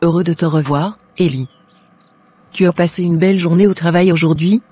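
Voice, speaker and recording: A man voicing a devoted, pious young wife who speaks softly and prays for her husband. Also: fairly high pitch, steady tone, moderate pace, slightly rough, no echo. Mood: worried. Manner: soft, pleading, prayerful.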